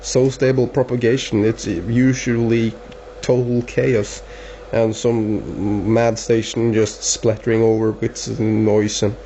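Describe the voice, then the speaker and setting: A man with a Norwegian accent chatting casually as a radio operator, halting and repeating words. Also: lowish voice, little pitch movement, warm tone. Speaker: amateur radio operator filming the contact. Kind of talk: casual two-way radio chat. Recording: dull lo-fi camera sound, faint hiss.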